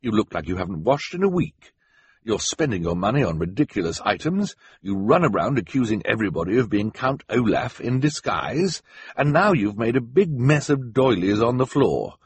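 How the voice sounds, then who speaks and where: A man voicing a stuffy, disapproving middle-aged gentleman who scolds children in a fussy, reproachful way. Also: medium pitch, big pitch swings, moderate pace, husky, dry recording, heavily acted. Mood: disturbed, scolding.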